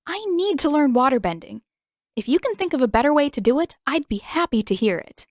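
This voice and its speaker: A woman's voice: high-pitched, very animated, fairly quick, dry recording, clean studio sound, pitched above the performer's own voice. A woman voicing a determined, earnest teenage girl in a read-aloud fan story. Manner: earnest, insistent.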